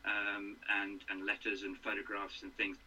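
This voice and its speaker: A man in his sixties from England, a guest in a casual interview, who talks haltingly with many fillers and restarts. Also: on the low side, completely flat delivery, sharp tone, slight background noise, poor audio.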